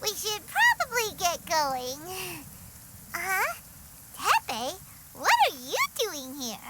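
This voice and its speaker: A woman voicing a tiny, excitable, cheeky fairy companion with a squeaky childlike voice in a video game. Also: takes it slowly, very noisy, full character voice. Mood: relieved, uneasy. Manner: speaks of self in third person, exclamatory. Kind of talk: animated video game voice acting. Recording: music behind the voice.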